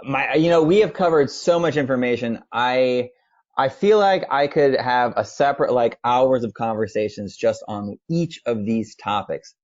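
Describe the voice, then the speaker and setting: A man's voice: medium pitch, normal speed, darkish tone. A man hosting an interview, giving short affirmations and wrapping up with a long, friendly question. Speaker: podcast host. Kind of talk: interview host. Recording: muffled lo-fi audio.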